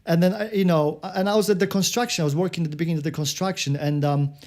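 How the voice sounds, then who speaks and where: Male podcast host, Polish accent, slightly high voice. Casual, halting, repeats and restarts words. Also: fairly even intonation, darkish tone. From Poland. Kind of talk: casual interview chat.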